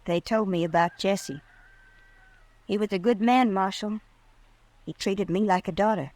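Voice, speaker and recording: A woman's voice: medium pitch, measured pace, darkish tone, dry recording, close to an everyday voice. A woman with an American accent playing a guarded, polite young ranch widow in an old-time radio western. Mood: subdued, guarded. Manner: polite, restrained.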